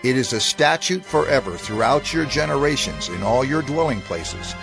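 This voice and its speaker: A man reading scripture as dramatized narration in a solemn, deliberate, declamatory way. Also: medium pitch, lively intonation, gravelly. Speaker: scripture reader. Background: music.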